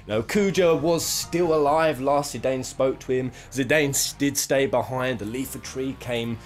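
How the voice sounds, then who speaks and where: A man's voice: mid-range voice, moderate pace, soft background music. A man hosting a casual, jokey commentary, talking in playful, florid exaggeration with crude swearing. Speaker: video host.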